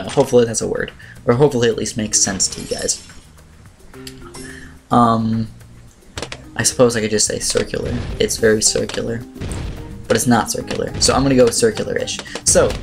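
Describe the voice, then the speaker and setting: Man, slightly raspy, mid-pitched, informal gaming host, rambling commentary, clear background music. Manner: rambling, informal strategy explaining. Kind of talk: casual game commentary. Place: voice-over on screen-recorded gameplay.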